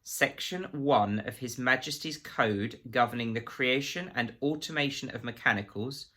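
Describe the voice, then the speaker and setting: A man's voice: mid-range voice, moderate pace, sharp tone. A man playing a prissy, menacing government agent in a story, officious, pompous and precise. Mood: cold officious menace.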